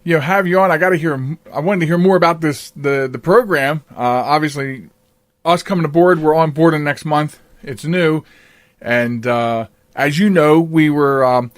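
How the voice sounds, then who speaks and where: A man hosting a casual radio-style interview show, chatting in a halting way and often repeating words. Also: fairly high pitch, no echo, studio quality. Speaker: podcast host.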